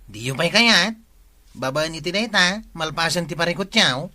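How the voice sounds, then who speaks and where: Very sharp-voiced Ilocano villager. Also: male voice, fairly high pitch, expressive, moderate pace. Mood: bantering. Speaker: actor in a radio comedy drama. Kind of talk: Ilocano radio comedy-drama acting.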